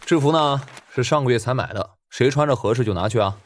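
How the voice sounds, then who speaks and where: A man's voice: mid-range voice, lightly acted. A man playing a glib, chummy young casino worker in an audiobook drama, ingratiating and chatty. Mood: casual, generous. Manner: ingratiating, chatty, drawn-out particles. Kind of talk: Mandarin audiobook drama acting.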